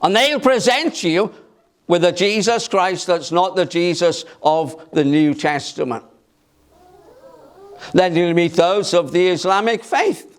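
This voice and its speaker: Loud preacher with a lively, high voice. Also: male voice, measured pace. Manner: loud, emphatic, rhetorical questions. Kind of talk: sermon. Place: church. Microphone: amplified by a microphone.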